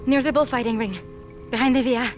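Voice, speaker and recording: A woman performs a mysterious woman from a game cutscene, high in pitch. She speaks earnestly and ominously, with faint music behind her. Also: fairly even intonation, fairly quick, close to an everyday voice. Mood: urgent. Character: mysterious, earnest local woman bearing dark warnings. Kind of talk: video game cutscene acting.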